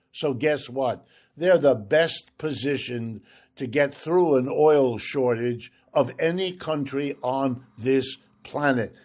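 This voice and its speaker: Measured, explanatory man with German roots. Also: on the high side, a little mellow. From United States. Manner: measured, explanatory, pointed emphasis. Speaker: guest expert. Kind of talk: radio interview answers.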